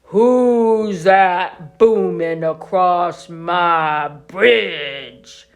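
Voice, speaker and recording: A big, greedy troll guarding his bridge, drawing out each threat slowly and loudly, as a grandmother puts him on for a bedtime story. The voice has a gravelly, rough edge and drags along at an unhurried pace. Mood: menacing. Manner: slow, loud, booming threats.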